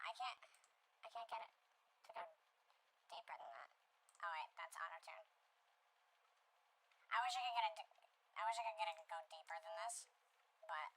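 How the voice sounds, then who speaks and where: A woman's voice: fairly low pitch, sharp tone, a little hiss or hum, poor audio. A young woman streaming while she makes music, rambling and thinking aloud as she works, with lots of restarts. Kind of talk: casual live-stream chat while working.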